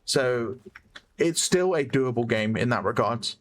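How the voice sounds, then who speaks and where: A man with a hoarse voice giving chatty play-by-play commentary on a competitive online shooter match, often correcting himself. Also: medium pitch, expressive, a little slow. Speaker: esports caster. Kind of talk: live game commentary.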